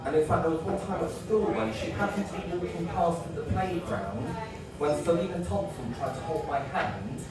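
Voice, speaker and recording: A man playing a childlike, naive mummy's boy who hosts a kids' story time with earnest rambling and many hesitations, on a live comedy stage. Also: fairly high pitch. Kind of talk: live stand-up character comedy. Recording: echoey live venue from a distance, noisy.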